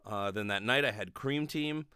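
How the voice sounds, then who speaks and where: A man's voice: on the low side, normal speed, pushed and bright, clean tone, dry recording. A man in his thirties giving a casual, rambling, sweary monologue with lots of hesitation, speaking into a close studio microphone. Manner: informal, sweary, hesitant with frequent filler "like". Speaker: podcast host, actor and acting teacher. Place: small bedroom, indoors. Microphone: close studio microphone on a stand.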